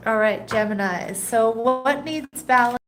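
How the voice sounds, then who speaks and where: A woman hosting a live tarot reading, a little congested and nasal, chatting casually and greeting viewers by name between soft laughs. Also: fairly high pitch, unhurried, faint music behind. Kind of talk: casual live-stream chat. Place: home in a rainy tropical place. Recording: echoey room, faint background noise.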